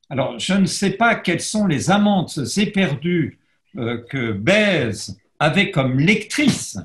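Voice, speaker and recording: A man giving an academic lecture as a historian, pausing and restarting often as he reads and translates verse. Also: on the high side, reverberant. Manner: hesitant, frequent pauses and restarts; self-deprecating about his Latin pronunciation. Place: lecture setup facing a camera, roomy.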